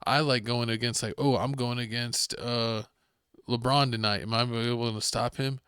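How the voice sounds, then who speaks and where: A man's voice: medium pitch, steady tone, moderate pace, a bit bright, clear, resonant tone, no echo, studio quality. A Texan man hosting a podcast, chatting casually and rambling about video games, sometimes acting out game scenarios. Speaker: podcast host. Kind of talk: casual sports chat.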